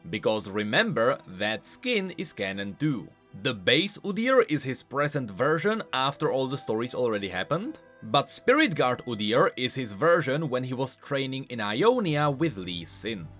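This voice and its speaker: A man hosting a video game lore explainer, speaking in an animated, emphatic way. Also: medium pitch. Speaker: host of a game lore channel. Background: music.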